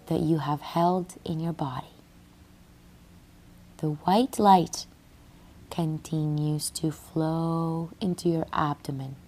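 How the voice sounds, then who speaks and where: A woman leads a guided meditation in a low, mellow voice. She reads very slowly and soothingly, leaving long pauses and letting phrases trail off softly and breathily. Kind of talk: guided relaxation meditation, scripted read-aloud. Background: music under the voice.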